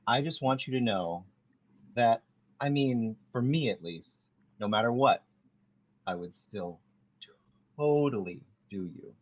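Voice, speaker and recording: A man reading a part at a podcast table read, with a deadpan, mumbling manner. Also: mid-range voice, slow pace, pauses often. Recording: dull lo-fi audio.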